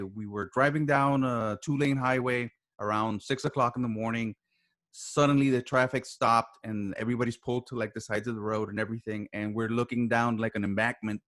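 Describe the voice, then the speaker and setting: A man speaking as a podcast guest, casually telling a story with repeated phrases and halting starts, acting out the quoted dialogue. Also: fairly low pitch, fairly bright tone. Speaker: podcast guest, writer and voice actor.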